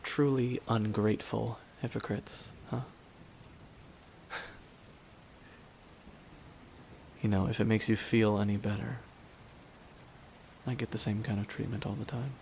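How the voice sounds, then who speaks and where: A man playing a bitter, weary supervillain in a dramatic ASMR audio roleplay, speaking deliberately with long pauses to a dying nemesis. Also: lowish voice, vocal fry. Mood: scornful. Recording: steady rain noise behind the voice. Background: rain falling on surfaces.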